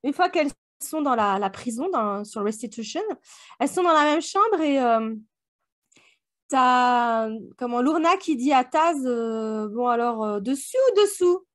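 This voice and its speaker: A woman's voice: high voice, animated, fairly bright tone. A woman co-hosting a book club podcast, chatting casually and colloquially in a playful, joking way. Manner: playful, colloquial, quick to joke. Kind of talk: casual discussion of an audio drama.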